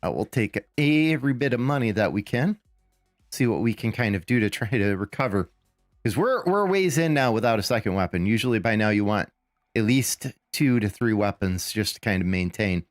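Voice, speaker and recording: Raspy man streaming a game. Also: fairly low pitch, animated, normal speed, no room sound, studio quality, faint music behind. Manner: rambling, thinking aloud with fillers and restarts. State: raspy, recovering from lost voice. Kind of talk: casual live gameplay commentary.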